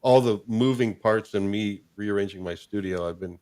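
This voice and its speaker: A man's voice: lowish voice, normal speed, mellow. A man hosting a show in a casual, informal chat, chuckling between remarks. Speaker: show host.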